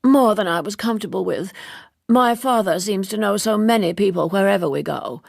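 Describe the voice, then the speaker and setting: A woman's voice: medium pitch, a little fast, vocal fry, darkish tone, no room sound, clean studio sound. A woman voicing an earnest, opinionated young American woman in an audiobook novel, prim and gossipy. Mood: chatty.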